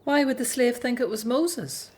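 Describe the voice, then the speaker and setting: A woman playing a worried, doubting Hebrew prophetess in a scripted radio drama, speaking gravely and in measured phrases. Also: on the high side, lively intonation, darkish tone, close to an everyday voice.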